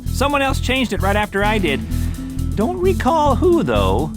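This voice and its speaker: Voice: medium pitch, wildly swooping pitch, moderate pace. An actor voicing a forgetful, toothless old grandfather who rambles in a befuddled way, in a game cutscene. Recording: game cutscene over loud music.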